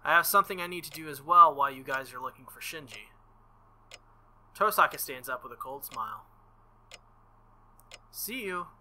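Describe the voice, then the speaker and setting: A man's voice: a little high, lively intonation, moderate pace, long pauses, pushed and bright, slight background noise. A man streaming a visual novel, reading its dialogue aloud and adding playful, jokey commentary, sometimes stumbling and rereading. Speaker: video game streamer.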